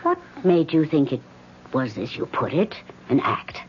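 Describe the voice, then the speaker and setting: Elderly female medium, radio drama: grave, measured, unflappable questioner, muffled sound with faint hiss. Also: low voice, big pitch swings. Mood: calm, probing. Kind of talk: vintage radio drama acting. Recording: muffled, hissy old radio recording.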